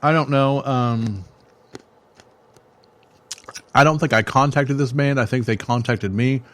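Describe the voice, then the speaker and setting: A man's voice: mid-range voice, a little slow, a bit bright, no room sound. A man hosting a metal radio show, reviewing songs in a rambling, joking way with many fillers, his voice starting to give out. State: losing his voice. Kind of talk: casual music review chat.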